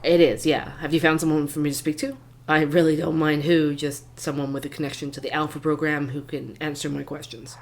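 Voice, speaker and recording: An actor playing a dogged, wry young male investigative podcaster in an audio drama, with a dry, measured, sardonic delivery. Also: medium pitch, level tone, lightly acted. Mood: politely frustrated. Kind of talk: scripted narration and dialogue, audio drama.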